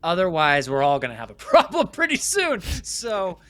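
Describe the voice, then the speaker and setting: A man speaking as the guest in a candid interview, telling stories in an animated way. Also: high voice, moderate pace, no echo. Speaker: guest, filmmaker and company CEO.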